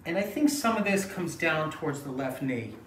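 A man's voice: fairly high pitch, lively intonation, normal speed, fairly bright tone. A middle-aged man, a chiropractor, explaining a hands-on treatment in instructional, anatomical terms. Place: small treatment room indoors. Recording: echoey room, distant mic, background noise.

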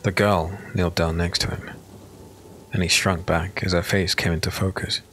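Low-voiced man narrating dark fantasy. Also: level tone, a little hiss or hum. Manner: solemn, measured storytelling. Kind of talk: dramatic scripted dark fantasy audiobook narration. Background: music.

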